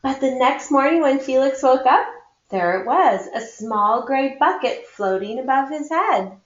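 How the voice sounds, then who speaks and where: A woman's voice: high-pitched, lively intonation, a little hiss or hum. A woman reading a children's picture book aloud to schoolchildren, acting out the dialogue as she goes. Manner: acts out dialogue, pauses to comment to listeners. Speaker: read-aloud storyteller for schoolchildren. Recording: muffled, echoey room recording.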